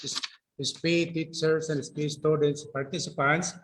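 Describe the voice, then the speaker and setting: A man's voice: fairly high pitch, level tone, moderate pace. A man with a Filipino accent, a teacher giving polite, formal remarks and often repeating himself. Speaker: teacher, participant.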